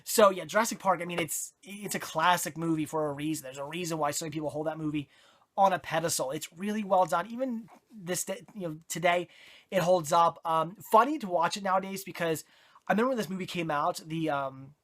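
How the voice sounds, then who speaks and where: A movie reviewer whose voice is going hoarse, chatting casually and correcting themself with lots of ums and restarts. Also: mid-range voice, a little fast, fairly bright tone. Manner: rambling, self-correcting, many fillers. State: hoarse, voice giving out.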